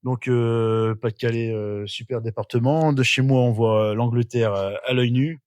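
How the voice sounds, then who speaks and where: A man's voice: mid-range voice, fairly even intonation, moderate pace, darkish tone, no room sound. A middle-aged man from northern France, a podcast guest telling his life story casually, with many fillers and restarts. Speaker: interview guest.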